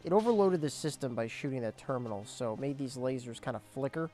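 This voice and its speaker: A young man casually commentating his gameplay, explaining objectives as he goes, his voice cracking and squeaking now and then. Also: lively intonation, fast, over music. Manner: chatty, explains objectives as he plays. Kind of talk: casual let's play commentary.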